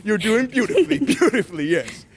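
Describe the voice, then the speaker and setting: A man's voice: high voice, big pitch swings, a little fast. A man playing a jolly, kindly old Santa Claus in old-time radio drama style, with playful, grandfatherly, coaxing phrasing. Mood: gleeful.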